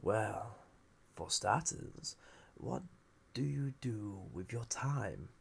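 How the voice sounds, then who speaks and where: A man playing a banished magical villain held captive, flirty and scheming, teasing theatrically in a deliberate, often breathy half-whisper for a roleplay audio. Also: lowish voice, animated, heavily acted. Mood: coaxing, flirty. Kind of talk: scripted roleplay audio acting.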